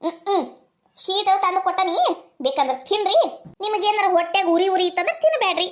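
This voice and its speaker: A woman's voice: very high-pitched, lively intonation, fairly quick. A woman playing a spiteful, scheming older mother-in-law in a family drama skit, taunting and melodramatic, with a North Karnataka accent.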